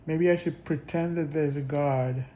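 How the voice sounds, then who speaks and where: A man vlogging in a casual, rambling monologue, halting often with restarts and little chuckles. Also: a little high, fairly even intonation, dark tone, slight background noise.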